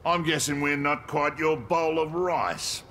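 A man's voice: a little high, measured pace, slight background noise. A man voicing a cocky, greedy cyborg mercenary with an Australian accent, taunting in slang for a video game. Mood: smug taunting. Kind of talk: video game fight banter. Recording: long reverb over loud music.

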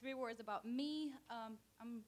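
A woman's voice: fairly high pitch, a little slow. A woman from Brazil, a communications specialist, introduces herself in a light, chatty way in a conference room. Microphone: handheld microphone.

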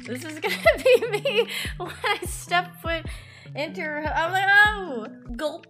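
A woman's voice: very high-pitched, expressive, measured pace, sharp tone, soft background music. A young woman guest on a stream, joking playfully and acting out her own reactions. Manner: playful, jokey, with quoted inner monologue. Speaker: guest cosplayer. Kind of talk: casual chat on a live stream. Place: bedroom streaming room.

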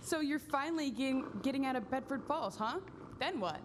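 A woman's voice: high voice, animated, fairly quick. A woman playing a folksy, curious male taxi driver in a live radio play. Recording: noisy stage recording.

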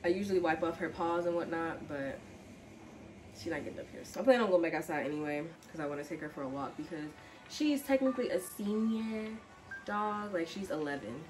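Low-voiced young American woman, roomy and distant. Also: lively intonation, brisk, darkish tone. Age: 20s. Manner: informal, slangy, talking while doing chores. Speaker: home and cleaning vlogger. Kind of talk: casual chat to camera. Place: inside house rooms, bedroom and basement. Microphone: camera or phone set at a distance. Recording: roomy home echo, distant mic, background music. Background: music.

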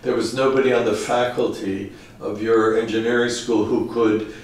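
A man's voice: fairly low pitch, flat delivery, moderate pace. A man interviewing a guest, asking curious, probing questions. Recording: roomy, from off-mic distance.

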